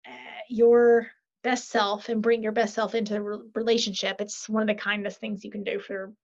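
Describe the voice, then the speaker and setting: A woman, a podcast guest and author, chatting in an animated, upbeat way and often checking in with "right?". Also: medium pitch, moderate pace. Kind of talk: casual interview chat. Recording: lo-fi muffled sound.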